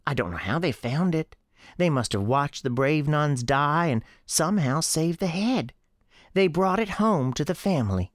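A woman voicing a young girl with a high girlish voice and a folksy, childlike storytelling manner in an audio drama. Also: expressive, moderate pace, dry recording, studio quality. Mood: earnest. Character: young Southern girl Sarah, earnest, defiant, chatty. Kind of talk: acted audio drama dialogue.

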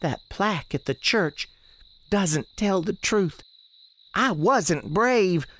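A woman's voice: lowish voice, animated, slow pace, a bit bright, heavily acted. A woman playing the restless, defiant ghost of a sixteen-year-old novice nun in a radio drama, earnest and plaintive, with folksy phrasing. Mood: wounded, defiant. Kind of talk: dramatic radio theatre dialogue.

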